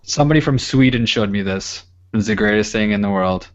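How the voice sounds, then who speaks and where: A man's voice: lowish voice, fairly quick, lo-fi sound. A young man co-hosting a casual podcast, rambling, laughing and swearing as he talks. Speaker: podcast co-host.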